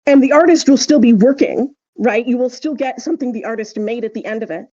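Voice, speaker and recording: A woman's voice: fairly high pitch, a little fast, mellow, dull audio. A woman co-hosting a casual podcast discussion, explaining her points emphatically and often checking with a tag question. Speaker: podcast co-host.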